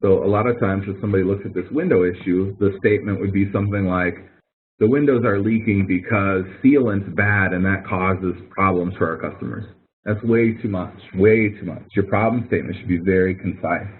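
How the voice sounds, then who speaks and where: A man's voice: sits low, a little fast, slight background noise. A male instructor giving a webinar lecture in a conversational way, walking through examples and correcting himself as he goes. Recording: tinny phone-like webinar audio.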